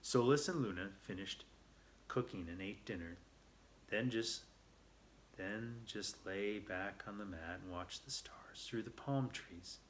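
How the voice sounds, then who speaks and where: A man's voice: lowish voice, measured pace, a little hiss or hum. A man reading a children's story aloud in an earnest, unpolished way, stumbling now and then. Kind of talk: read-aloud narration.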